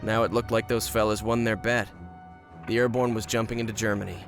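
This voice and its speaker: A man's voice: on the low side, little pitch movement, a little fast, music in the background, lightly acted. An actor playing a wry, plainspoken American paratrooper who narrates his war in a reflective, matter-of-fact way. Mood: sombre, reflective. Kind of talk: scripted first-person war narration.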